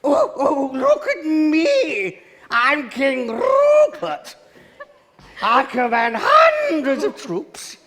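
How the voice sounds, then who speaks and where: A man's voice: wildly swooping pitch, fairly bright tone, full character voice. A man putting on a mocking impression of a pompous, self-important king, drawing his words out in a pantomime. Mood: pompous mockery. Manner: drawn-out, booming, pompous. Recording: hall reverb, live stage production.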